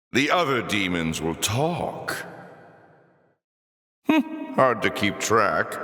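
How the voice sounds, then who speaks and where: An actor playing a suave, sardonic demon lord in a video game, theatrical and sly, with mock courtesy. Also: male voice, on the low side, a bit bright, exaggerated voice. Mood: taunting, playful. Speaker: arena master taunting the player. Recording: echoey hall reverb.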